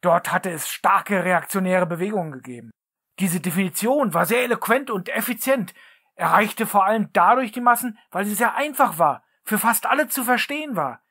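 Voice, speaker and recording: A woman's voice: medium pitch, lively intonation, normal speed, fairly bright tone, breathy edge. A synthetic female text-to-speech voice playing a scheming male businessman who gives curt, matter-of-fact orders in a radio play. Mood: commanding.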